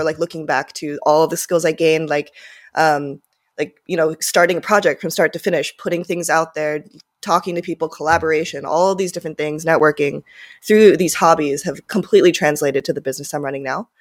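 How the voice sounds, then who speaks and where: A woman in her twenties, born and raised in Japan with Japanese as her first language, answering interview questions in a rambling, casual way with many fillers. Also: sits low. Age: 20s. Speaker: guest, startup founder.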